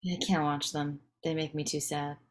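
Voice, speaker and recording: A woman's voice: deep voice, level tone, moderate pace, natural voice. A woman at a script table read playing a candid, coaxing wife, direct and conversational. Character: candid, coaxing wife urging her husband toward his dreams.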